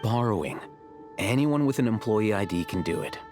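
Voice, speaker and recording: A man voicing a smug, unflappable young scribe in a video game, deadpan and sardonic. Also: on the low side, moderate pace, faint music behind, close to an everyday voice. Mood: dry, mocking. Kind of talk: video game character dialogue.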